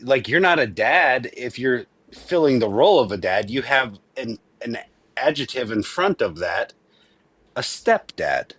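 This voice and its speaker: A man's voice: mid-range voice, sing-song, unhurried, fairly bright tone, breathy edge, no echo. Earnest man near forty, dry sound. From Venezuela. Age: 30s. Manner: earnest, emphatic, restarts often. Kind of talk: casual debate chat.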